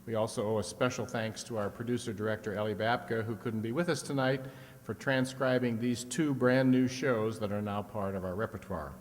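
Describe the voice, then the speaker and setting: A man's voice: fairly low pitch, fairly even intonation, fairly quick. A man delivering prepared thank-you remarks as spokesman of an amateur drama group in a reverberant hall. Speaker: spokesman of a community radio-play group. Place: reverberant hall, live event. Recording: reverberant hall, faint background noise.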